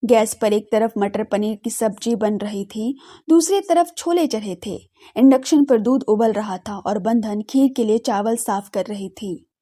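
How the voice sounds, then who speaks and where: ऊँची, मुलायम आवाज़ वाली महिला कथावाचक. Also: female voice, on the high side, unhurried, warm tone. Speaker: narrator. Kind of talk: scripted audio drama narration.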